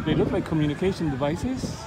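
A man's voice: on the high side, animated, fast, background noise. A middle-aged man vlogging a casual walking tour, chatting enthusiastically as he walks, filmed on a handheld camera at arm's length outdoors. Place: outdoor theme park. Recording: outdoor phone video with crowd and music. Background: loud music and crowd.

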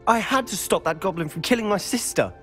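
A man's voice: sits high, expressive, fairly quick, a bit bright. A young man with a British accent playing a headstrong teenage wizard in a video game, intense and insistent. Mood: bitter, determined. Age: young adult. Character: headstrong, defiant teenage schoolboy wizard, protective brother. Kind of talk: video game voice acting, dramatic dialogue. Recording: game audio with music underneath.

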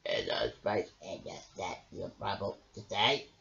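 A man's voice: low-pitched, wildly swooping pitch, unhurried, gravelly, sharp tone, slight background noise, voice pushed down, exaggerated voice. A man voicing a grumbling toad who ribbits, in a children's picture-book story. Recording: dull lo-fi home recording.

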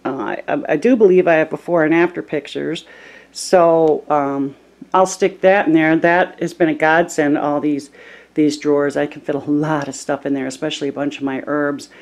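A woman casually narrating a tour of her small cabin, filming with a handheld camera, chatty and relaxed with lots of ums. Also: low voice, moderate pace. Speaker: homesteading vlogger giving a home tour.

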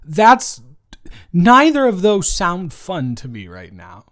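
A man playing a flustered, caring young best friend in an improvised romantic audio roleplay, talking haltingly and teasingly. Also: high-pitched, huge pitch swings, fairly bright tone, pitched above the performer's own voice, heavily acted. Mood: reluctant, unsure. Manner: hesitant, halting, conversational, teasing.

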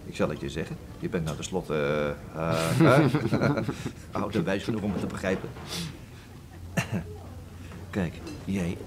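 A man's voice: deep voice, lively intonation, a little slow, long pauses, a crowd in the background. An actor playing an evasive middle-aged father who coughs and hesitates in a radio drama. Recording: noisy old radio play recording.

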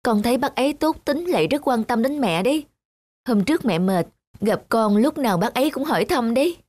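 A woman's voice: on the high side, expressive, brisk, darkish tone, no room sound. Woman playing an earnest, emotional adult daughter. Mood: coaxing. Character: caring, earnest adult daughter, guilty and pleading.

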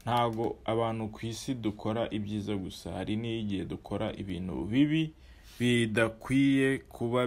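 A man in his thirties giving measured commentary to camera outdoors, with frequent hesitations and restarts. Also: lowish voice, a little mellow. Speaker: online channel presenter.

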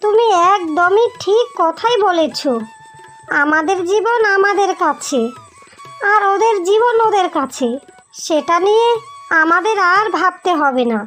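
Cartoon young wife, selfish and spiteful, voiced by a woman; very high and bright. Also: expressive, measured pace, soft background music, full character voice. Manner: sneering, bossy.

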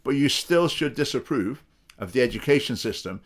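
A man's voice: medium pitch, lively intonation, moderate pace. A middle-aged man in his fifties giving opinionated, sarcastic political commentary to a webcam from his home study. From England. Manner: opinionated, sarcastic, mocking quoted voices. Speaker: political party spokesman. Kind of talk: commentary to camera. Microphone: webcam video call framing.

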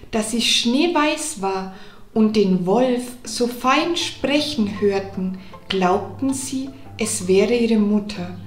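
A woman narrating a scripted children's fairy tale deliberately, pausing between phrases. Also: medium pitch, roomy sound. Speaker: storyteller.

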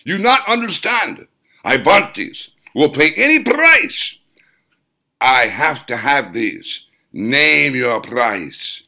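A man voicing a squat, gnome-like male client in an audiobook, overbearing and insistent, speaking loudly in broken English. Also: mid-range voice, sing-song, slow pace, voice pushed up, heavily acted. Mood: frantic, shouting.

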